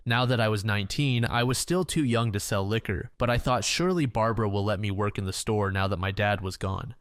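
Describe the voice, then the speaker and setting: A man's voice: lowish voice, fairly quick, no room sound, clean studio sound. A man narrating online stories aloud in a plain, matter-of-fact way. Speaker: reddit story narrator.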